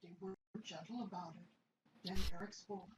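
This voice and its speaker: A woman narrating a science-fiction story in an amateur radio play reading, with a steady storytelling delivery. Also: on the low side, normal speed, roomy sound, background noise.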